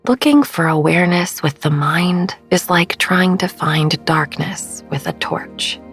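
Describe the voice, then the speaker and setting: A woman narrating a guided sleep meditation as host, soft and breathy, soothing and unhurried, often trailing into a whisper. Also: lowish voice. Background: soft background music.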